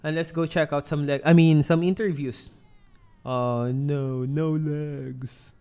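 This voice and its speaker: A man's voice: on the high side, steady tone, slow pace, dark tone, clean tone. A man casting an esports match, chatty and joking between plays. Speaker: esports caster. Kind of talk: lively game commentary.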